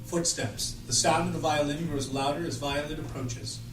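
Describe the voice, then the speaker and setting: A man's voice: fairly high pitch, steady tone, normal speed. A man reading stage directions as scripted narration at a table read of an audio drama. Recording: roomy, distant, music underneath.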